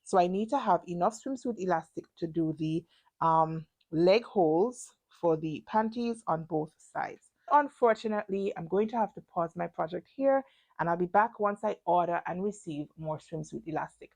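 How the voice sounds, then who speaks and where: A woman's voice: fairly low pitch, moderate pace, creaky, a little mellow. A woman talking casually through her sewing project in a chatty, self-deprecating vlog. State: stuffy and congested. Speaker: sewing vlogger.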